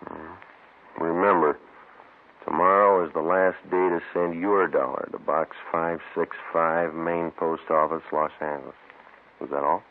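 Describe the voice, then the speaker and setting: An actor playing a dry, no-nonsense police sergeant, clipped and matter-of-fact, in a 1950s radio police drama. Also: male voice, deep voice, fairly even intonation, unhurried. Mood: businesslike. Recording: tinny old radio recording.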